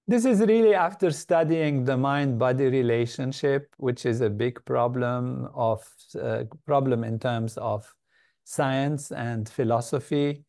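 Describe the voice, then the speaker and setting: An older man from Lebanon, a guest in an interview, giving measured, thoughtful answers with frequent pauses and fillers. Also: mid-range voice, dark tone. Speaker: guest, meditation organization leader.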